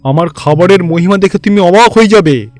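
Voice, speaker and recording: Boastful wealthy cartoon king, played by a man: grandiose, high-pitched, loud, over soft music. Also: a little fast, no echo, lightly acted. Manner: pompous, showy, loud. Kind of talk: cartoon fairy-tale voice acting.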